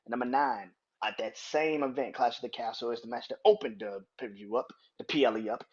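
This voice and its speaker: A man's voice: medium pitch, fast talker, husky, highs cut off. A young man hosting a podcast, counting down a ranking in casual, profane talk, often laughing and getting worked up. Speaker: podcast host.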